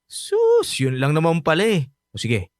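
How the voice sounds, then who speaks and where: A man's voice: a little high, wildly swooping pitch, a little slow, no room sound, studio quality. A man playing a lazy, playful young Filipino student who wheedles and pleads, in radio drama dialogue with a Filipino accent. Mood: exasperated, playful. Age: young adult.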